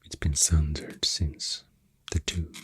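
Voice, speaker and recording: Male ASMR creator, Swedish accent, soft breathy near-whisper; flat, slow, unscripted delivery on a close mic. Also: extremely low pitch, slight background noise. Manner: hesitant, unscripted, unhurried. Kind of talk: soft-spoken ASMR rambling explanation. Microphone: close-up ASMR microphone.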